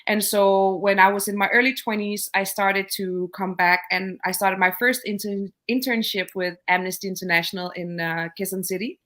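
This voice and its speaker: A woman in her thirties from Denmark, a guest telling her story in a casual interview, pausing often with fillers and restarts. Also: medium pitch, steady tone, fairly bright tone. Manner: thoughtful, hesitant with many fillers and restarts. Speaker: guest entrepreneur.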